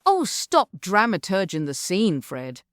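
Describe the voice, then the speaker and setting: A woman's voice: mid-range voice, wildly swooping pitch, a little slow, no room sound, clean studio sound. A woman playing a spirited, exasperated comic woman who bickers with her partner in a stage script.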